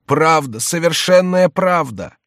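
A man's voice: a little high, animated, a little slow, a bit bright, no echo, studio quality, voice pushed up. A man voicing a sly, mocking French court jester in a theatrical, exclamatory audiobook read. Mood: mock-plaintive. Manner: theatrical, exclamatory, mock-plaintive.

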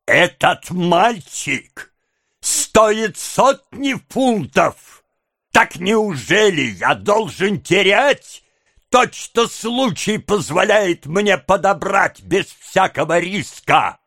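Audiobook villain: male reader as crafty, vicious old crook, very drawn-out words, swooping pitch, close dry sound. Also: sing-song, dry recording, full character voice. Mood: furious, menacing. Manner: slow, drawn-out, theatrical delivery. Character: scheming old male gang boss, wheedling, vicious when crossed. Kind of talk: dramatised audiobook character.

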